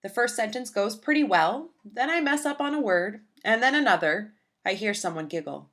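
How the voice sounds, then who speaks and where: A woman voicing an earnest, shy young schoolgirl who narrates her own storybook tale with deliberate, childlike phrasing. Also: medium pitch, expressive, fairly bright tone. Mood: nervous, then proud.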